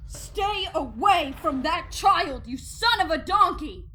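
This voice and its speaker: Woman acting a fierce, high-pitched indignant protectress. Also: expressive, pushed and bright, a little hiss or hum, full character voice. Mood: outraged. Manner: thundering, scolding, slow and emphatic.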